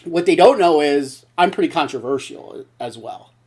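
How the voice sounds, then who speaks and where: Lively joking middle-aged man hosting. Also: on the high side, moderate pace, husky. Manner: blunt, joking, rambling. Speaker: host. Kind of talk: casual comedic chat show.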